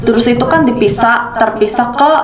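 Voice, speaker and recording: A woman's voice: on the high side, moderate pace, roomy sound, background noise. A young woman from South Korea, a native Korean speaker with a Korean accent, explaining things casually to her viewers. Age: young adult. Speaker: video creator.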